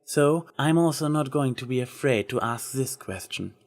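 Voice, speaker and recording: A man's voice: mid-range voice, expressive, normal speed, creaky. A man voicing a proud, theatrical yet tender female unicorn magician in a story reading. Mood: earnest, tentative.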